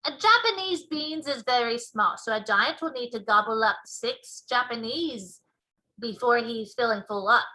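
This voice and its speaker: A woman voicing a big friendly male giant from a children's storybook, folksy and excitable, with muddled grammar and made-up words. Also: on the high side, a little slow, poor audio. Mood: boastful, excited. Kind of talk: children's storybook read-aloud.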